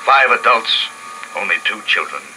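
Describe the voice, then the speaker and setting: A man's voice: fairly low pitch, expressive, normal speed, noisy background, lightly acted. An actor playing a weary man who leads a handful of plague survivors and narrates their story in an old radio drama. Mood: somber. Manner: somber, earnest, musing. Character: weary man leading a few plague survivors, grave father. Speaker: narrator and lead character. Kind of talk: 1950s radio drama acting. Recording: old radio broadcast with music behind.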